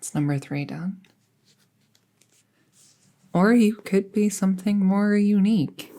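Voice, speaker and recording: A young woman playing a friendly apprentice witch in an intimate roleplay audio, soft-spoken and musing, hesitant, often slipping toward a whisper. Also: mid-range voice, slow. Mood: musing, casual.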